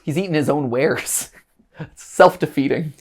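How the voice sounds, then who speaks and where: A man hosting a casual reaction chat, animated and jokey, now and then breaking into silly voices. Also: fairly high pitch, measured pace. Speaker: host.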